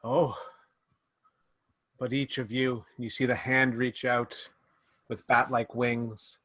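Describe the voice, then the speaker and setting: A man running a tabletop role-playing game over a video call, narrating scenes slowly and descriptively. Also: medium pitch, flat delivery, bright, forceful tone, dry recording. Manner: slow, drawn-out descriptive narration. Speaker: game master. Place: home room indoors. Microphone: video call webcam mic.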